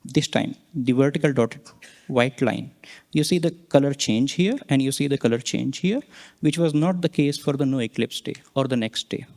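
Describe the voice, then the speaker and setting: A man giving a scientific conference presentation, explaining slides and data in halting, explanatory phrases. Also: medium pitch, a little mellow. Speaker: researcher presenting a conference talk.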